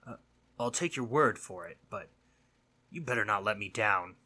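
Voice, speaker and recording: A man playing a mischievous but concerned sleep demon in a roleplay audio monologue, husky and conversational, earnest and teasing, sometimes dropping to breathy near-whispers. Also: fairly low pitch, expressive, moderate pace, sharp tone, full character voice. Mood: worried, reflective.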